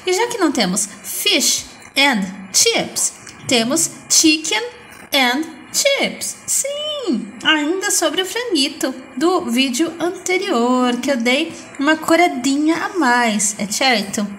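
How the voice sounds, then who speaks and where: High, lively Brazilian woman food vlogger. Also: takes it slowly, a little hiss or hum, low-quality recording. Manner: playful, coins cute diminutive words. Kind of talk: casual food vlog narration. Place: home kitchen. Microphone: phone camera.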